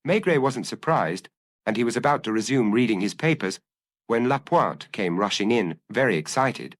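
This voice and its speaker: Man, audiobook narrator, detective fiction, very lively intonation, mid-range pitch, dry close sound. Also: normal speed, dry recording. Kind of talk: scripted detective story narration.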